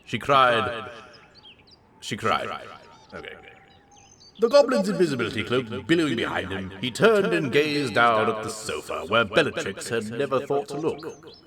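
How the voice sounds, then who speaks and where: A man's voice: mid-range voice, big pitch swings, normal speed, pauses often, pushed and bright. A man reading absurd comic fiction aloud as a host, in a theatrical, mock-serious way with big swings of emphasis.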